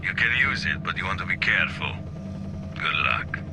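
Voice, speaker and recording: Low-voiced wary stalker amid gunfire. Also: male voice, animated, normal speed. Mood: cautioning. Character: stalker ally giving wary, helpful field advice. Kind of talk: scripted video game dialogue. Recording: very noisy, gunfire behind.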